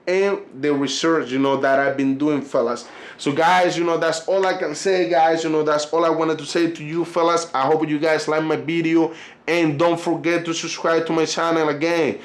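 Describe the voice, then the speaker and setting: Colombian Spanish-accented male vlogger, slightly high voice, rambling direct-to-camera chat, roomy sound. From Cartagena, Colombia. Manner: repetitive, loose, calls viewers fellas. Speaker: vlogger and tour guide. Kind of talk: casual monologue to camera.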